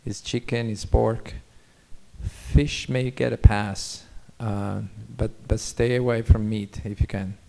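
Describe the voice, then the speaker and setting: A man's voice: lowish voice, steady tone, slow pace, vocal fry, mellow. Italian-accented man on an expert panel. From Italy. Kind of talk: informal expert Q&A. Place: auditorium stage. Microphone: handheld microphone.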